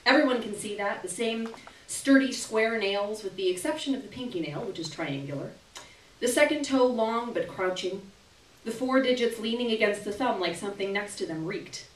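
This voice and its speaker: A young woman gives an expressive, theatrical reading of a literary short story at a live event, with deliberate phrasing and dramatic pauses. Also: medium pitch. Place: small indoor gallery reading event. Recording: roomy live venue, distant camera microphone.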